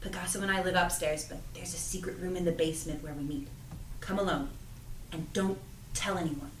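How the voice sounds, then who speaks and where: A woman's voice: on the low side, steady tone, fairly quick, slight background noise, natural voice. Woman as earnest teenage girl, roomy distant mic. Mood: secretive, earnest. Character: earnest, secretive teenage girl, friendly shop helper. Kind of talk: audio drama cast table read.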